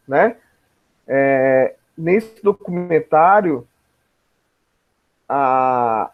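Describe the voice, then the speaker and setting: Brazilian guy teaching a class, kinda high voice, keeps checking you follow, muffled lo-fi sound. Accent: Brazilian Portuguese. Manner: didactic, frequent tag questions checking understanding. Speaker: teacher, lecturer. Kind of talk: informal lecture to students.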